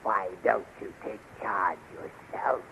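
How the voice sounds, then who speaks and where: Insinuating older majordomo, obsequious courtly manner, 1930s radio acting, faintly noisy vintage recording. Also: male voice, on the low side, takes it slowly, creaky, pushed and bright, exaggerated voice. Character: scheming, flattering older male courtier and majordomo.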